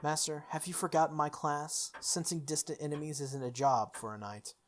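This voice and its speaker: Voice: medium pitch, steady tone, normal speed, natural voice. A video game player voicing a sardonic male spirit archer servant, formal and teasing, from a visual novel. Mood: matter-of-fact.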